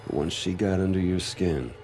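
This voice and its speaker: A man's voice: deep voice, normal speed. An actor playing a reflective soldier who tells his story in grave first-person narration in a game.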